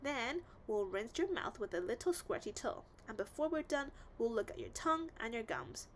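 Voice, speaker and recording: A woman's voice: fairly high pitch, big pitch swings, moderate pace, a little hiss or hum. A woman playing a friendly, reassuring dental assistant in a storybook, explaining playfully to children. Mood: encouraging.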